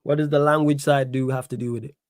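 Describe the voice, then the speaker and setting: A man's voice: medium pitch, fairly even intonation, fast talker, no room sound, studio quality. A young man with a Manchester accent hosting a live stream, reading chat aloud and talking back indignantly, repeating himself for emphasis. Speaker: livestream host.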